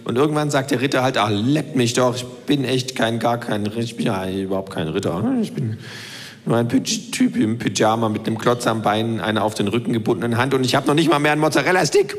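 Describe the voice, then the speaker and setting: A man voicing a cheeky, sarcastic male kangaroo who rants quickly in a storybook reading, with a long hall reverb. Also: medium pitch, expressive, pitched below the performer's own voice, close to an everyday voice. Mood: ranting. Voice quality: sarcastic, fast-talking ranter. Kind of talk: reading aloud.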